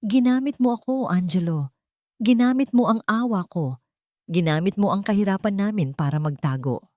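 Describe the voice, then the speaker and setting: A woman's voice: medium pitch, moderate pace, darkish tone, dry recording, studio quality, close to an everyday voice. Woman voicing a heartbroken, accusing young woman. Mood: hurt, accusing. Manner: accusing, wounded, emotional. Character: heartbroken young woman, bitterly accusing her deceitful lover.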